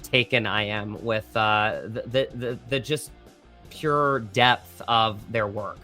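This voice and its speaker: A man's voice: fairly low pitch, slow, bright, forceful tone, faint music behind. A man speaking as an actor guest in a casual interview, earnest and reflective, often searching for words.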